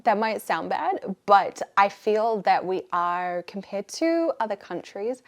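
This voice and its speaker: A young woman with a New Zealand accent, talking casually to camera in a lively way, often restarting her sentences. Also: medium pitch, takes it slowly. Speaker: vlogger. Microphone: camera mic.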